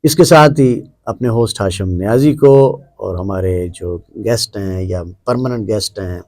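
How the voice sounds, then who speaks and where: A man's voice: on the low side, a little mellow, no echo, clean studio sound. A middle-aged Pakistani man hosting a conversational interview, mixing English words and phrases into his talk. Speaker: host.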